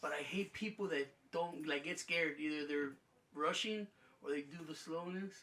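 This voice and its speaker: High-voiced, bright young man hosting. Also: moderate pace. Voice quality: high-pitched voice. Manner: mumbling, laughing, animated storyteller. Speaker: podcast host. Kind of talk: casual chat.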